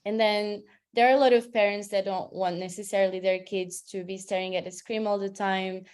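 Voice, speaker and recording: A woman with a Portuguese accent talks informally as a webinar speaker, chatty and quick to laugh. Her voice sits at a middle pitch. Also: fairly even intonation, darkish tone, clear, resonant tone. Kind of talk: casual webinar talk.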